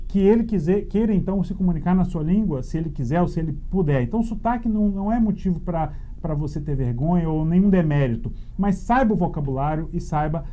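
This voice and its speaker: A middle-aged Brazilian man answering conversationally as a guest lawyer, explaining things in a halting way. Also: a little high, dull audio.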